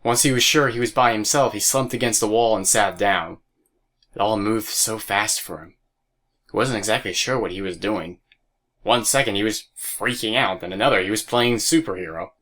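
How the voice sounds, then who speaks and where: A man's voice: lowish voice, normal speed, a bit bright. A man narrating an audio drama as a scripted story. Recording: music bed behind voice.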